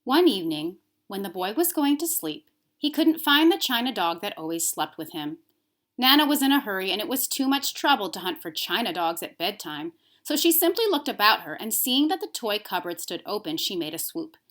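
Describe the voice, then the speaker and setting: A woman reading a children's story aloud as a teacher, expressive, with emphasis on key words. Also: medium pitch, moderate pace.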